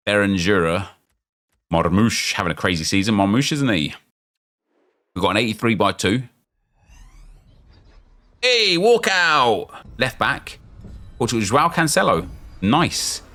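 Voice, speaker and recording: A man, chatty and excitable, thinking aloud as he opens packs in a football game, losing his voice and getting unwell. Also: on the low side, unhurried, long pauses. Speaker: gaming YouTuber. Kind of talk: casual pack-opening commentary.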